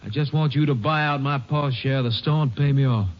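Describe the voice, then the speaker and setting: An actor playing a curt, bitter young ex-convict in a 1950s radio drama. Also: male voice, medium pitch, brisk, close to an everyday voice. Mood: angry, demanding. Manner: curt, confrontational. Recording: muffled old radio recording.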